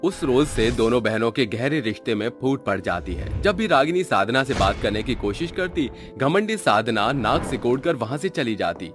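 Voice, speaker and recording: A man's voice: medium pitch, animated, normal speed, hardly any pauses, soft background music. A man reading a scripted story narration.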